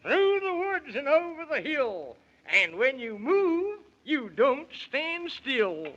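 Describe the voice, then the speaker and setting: Excitable, very high-pitched moon man. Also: slow pace, full character voice. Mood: jaunty. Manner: exclaiming, rhyming, comically fussy. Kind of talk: 1930s children's radio serial acting. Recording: muffled, hissy 1930s radio recording.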